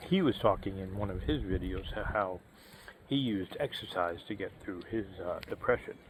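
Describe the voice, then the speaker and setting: A man in his fifties talking casually to camera, halting and full of fillers and restarts. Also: lowish voice, slight background noise, low-quality recording. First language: English. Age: 50s. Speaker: vlogger. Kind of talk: casual personal talk to camera.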